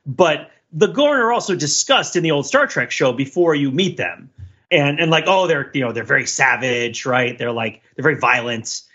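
A man with a bright, forceful voice talks casually as a podcast co-host. He circles around his points, backing up and rephrasing as he goes. The recording is a little dull and lo-fi. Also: mid-range voice, lively intonation, breathy edge. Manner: halting, digressive, self-correcting. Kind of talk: casual pop culture discussion.

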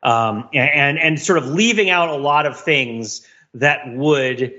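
A man's voice: on the high side, measured pace, bright, forceful tone, lo-fi sound. A man co-hosting a podcast, discussing film analytically in a wordy, thinking-aloud way, often repeating himself and checking in with "right?". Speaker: podcast co-host.

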